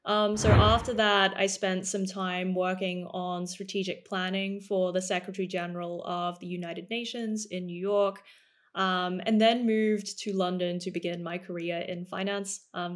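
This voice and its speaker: Woman, 30s, from Australia; lower register, measured and polished interview guest. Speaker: guest, startup co-founder and CEO. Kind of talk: podcast interview.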